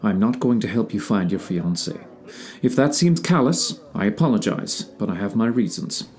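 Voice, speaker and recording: A man playing a curt, aloof gentleman detective in a period audio drama, speaking formally and dismissively. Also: fairly low pitch, animated, normal speed, close to an everyday voice. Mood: cold, dismissive. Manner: formal, clipped, coolly dismissive.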